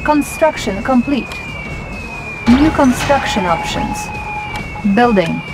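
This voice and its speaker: An actress voicing a cool, detached military command announcer in a strategy game, in clipped status reports. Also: female voice, a little high, lively intonation, takes it slowly. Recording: loud battle noise and sirens behind.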